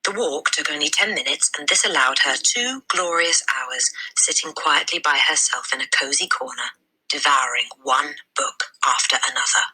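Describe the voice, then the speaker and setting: Bright, husky, low-pitched woman reading aloud. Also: moderate pace, rough recording. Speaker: storybook reader. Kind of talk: expressive read-aloud narration of a children's novel.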